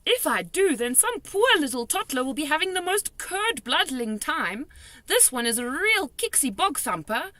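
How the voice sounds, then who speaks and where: A gentle old giant with jumbled speech and made-up words, put on by a woman reading a storybook. The voice is high, bright and leaps all over its range. It is recorded close and dry. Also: very animated, normal speed, no room sound. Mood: anguished.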